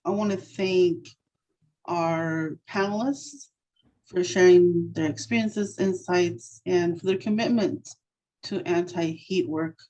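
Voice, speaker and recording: A woman moderates a panel event in a slightly low voice. She speaks slowly, and ums and uhs often fill the gaps between her points. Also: dark tone, low-quality recording. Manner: unhurried, with frequent fillers.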